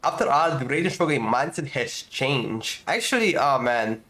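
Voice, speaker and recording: A young man casually commenting on a game he is playing, chatty and excitable with lots of fillers. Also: mid-range voice, moderate pace, a little hiss or hum. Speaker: gaming streamer. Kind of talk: casual let's-play commentary.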